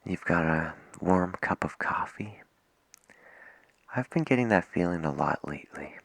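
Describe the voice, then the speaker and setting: A soft-spoken man doing an ASMR late-night radio DJ roleplay, gentle and reflective, close to the microphone. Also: low-pitched, animated, a little slow, vocal fry, no room sound.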